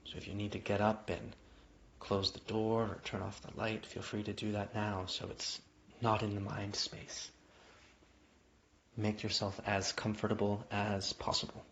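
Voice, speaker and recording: Male meditation guide, lower-pitched voice, gentle invitational delivery with lingering pauses, slightly dull lo-fi sound. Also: monotone, creaky, slight background noise. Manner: gentle, unhurried phrasing with long pauses, invitational. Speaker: yoga nidra guide. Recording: dull, muffled lo-fi audio.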